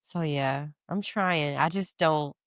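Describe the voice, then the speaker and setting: A woman in her thirties speaking candidly as a podcast guest, animated and quick to laugh, with casual slang. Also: low voice, clear, resonant tone, no echo, studio quality. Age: 30s. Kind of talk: casual interview chat.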